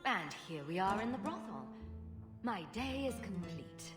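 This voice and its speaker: A woman's voice: medium pitch, big pitch swings, measured pace. A wry female adventurer who meets trouble with sarcasm. Her voice sits in a plain middle range, with light music under it. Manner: dry, sardonic.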